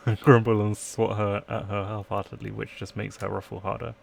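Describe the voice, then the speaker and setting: A man's voice: lowish voice, level tone, moderate pace, vocal fry. A man live-streaming a let's play, reading game text aloud and chatting in playful asides. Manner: playful asides, trying out accents.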